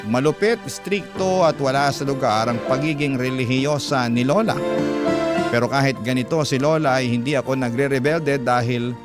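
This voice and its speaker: A male host reading a listener's letter aloud in a slow, emotive, classic radio storytelling manner. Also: mid-range voice. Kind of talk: dramatic storytelling narration. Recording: guitar music under the voice. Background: guitar music.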